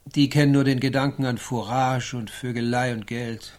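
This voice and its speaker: An actor playing a pompous, learned knight who lectures on honour and rules in stilted wording in a satirical radio play. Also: male voice, medium pitch, steady tone, moderate pace, a little mellow, lightly acted. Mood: pompous, instructive.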